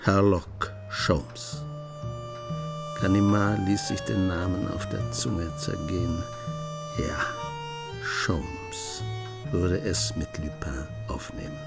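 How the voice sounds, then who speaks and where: An actor narrating a radio play and playing a curious writer, with calm, measured storytelling. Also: male voice, sits low, creaky, loud music behind the voice, heavily acted. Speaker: narrator and author figure.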